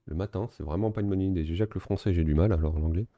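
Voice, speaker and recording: A man giving relaxed, self-mocking commentary while playing a strategy game, thinking aloud. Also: deep voice, very fast, no echo, lo-fi sound. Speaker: gaming video host. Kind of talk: casual let's-play commentary.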